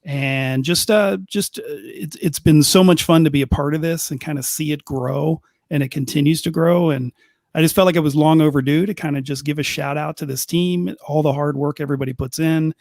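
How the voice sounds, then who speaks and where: A man's voice: fairly high pitch, brisk, creaky, darkish tone, no room sound, clean studio sound. A middle-aged man, a longtime sports reporter on a podcast panel, talking casually with lots of restarts. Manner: rambling, many restarts and fillers. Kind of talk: casual chat.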